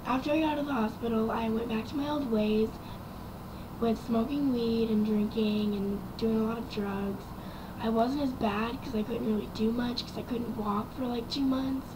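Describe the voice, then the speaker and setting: Young woman, raspy quiet voice, slightly high, rambling with ums and likes, noisy recording. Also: steady tone, normal speed. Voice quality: raspy and quiet from vocal cord damage. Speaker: vlogger. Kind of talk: casual personal story to camera.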